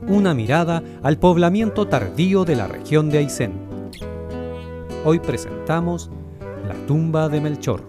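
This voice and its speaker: A man's voice: on the high side, animated, unhurried. A man announcing a radio programme in a scripted introduction style. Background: plucked guitar music.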